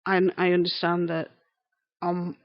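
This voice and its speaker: A woman's voice: fairly low pitch, completely flat delivery, unhurried, creaky, no room sound, muffled recording. A woman in her thirties with a broad Lancashire accent, chatting casually on a live stream, greeting viewers and repeating herself as she rambles. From England. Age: 30s.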